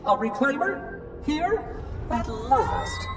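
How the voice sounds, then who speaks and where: An actor playing a prim, officious floating robot caretaker in a video game, precise, pedantic and chirpy, with synthetic processing. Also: male voice, extremely high pitch, animated, slow, far from the mic, music in the background, full character voice. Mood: fussy, insistent. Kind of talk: video game cutscene acting.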